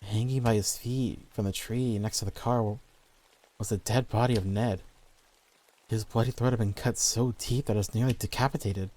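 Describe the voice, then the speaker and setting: A man's voice: lowish voice, fairly even intonation, normal speed, dark tone, rough recording. A man reading scripted horror stories as the narrator.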